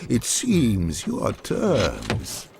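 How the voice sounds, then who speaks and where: A man voicing a huge, arrogant demon lord in a game cinematic, sneering theatrically with drawn-out words. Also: fairly low pitch, huge pitch swings, creaky, heavy background noise, heavily acted. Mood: mocking, menacing. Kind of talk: dramatic game cinematic acting.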